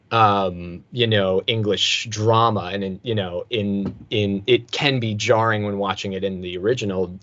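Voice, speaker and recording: A man who is a voice actor, talking casually as an interview guest, relaxed and full of fillers. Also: lowish voice, dry recording. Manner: relaxed, hesitant, many fillers. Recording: dull lo-fi sound, dry.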